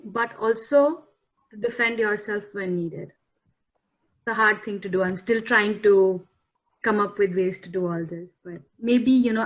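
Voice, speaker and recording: A woman's voice: medium pitch, poor audio. A woman, speaking thoughtfully and earnestly as a guest on a talk show. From India. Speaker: panel guest. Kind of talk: reflective talk-show discussion. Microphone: video call.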